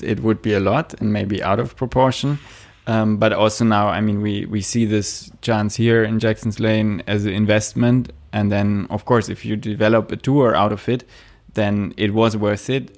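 Young male interviewee, German accent, lowish pitch, casual explanatory answers. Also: darkish tone. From Munich, Germany. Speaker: juggler, interviewee.

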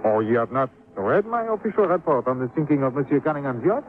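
An actor playing a courteous, formal and evasive police commissioner with a French accent in a 1950s radio drama. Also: male voice, mid-range voice, animated, fast talker, close to an everyday voice. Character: suave, formal male police commissioner in Beirut. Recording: tinny, slightly noisy 1950s radio recording.

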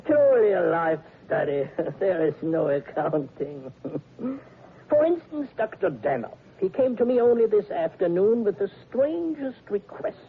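Radio drama: self-satisfied elderly analyst, very breathy-rough, animated intonation, lo-fi. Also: male voice, high voice, unhurried, exaggerated voice. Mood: musing. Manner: theatrical, rambling, pedantic, chuckling. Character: eccentric Viennese psychoanalyst, verbose, self-satisfied older man. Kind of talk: old-time radio drama acting. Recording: muffled, lo-fi vintage radio broadcast.

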